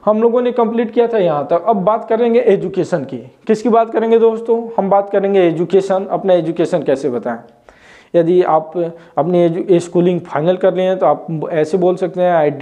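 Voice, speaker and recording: A man's voice: high voice, mellow. A man, teaching English at a whiteboard, recorded on a lapel mic in an indoor room, often asking viewers questions as he explains. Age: 20s. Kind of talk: whiteboard lesson.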